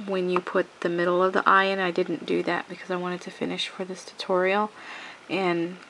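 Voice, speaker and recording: A woman's voice: fairly low pitch, monotone, moderate pace, a bit bright, slight background noise, low-quality recording. A woman with a cold talks through a hands-on craft tutorial at home, sniffling and rambling with many restarts. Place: home craft table, indoors.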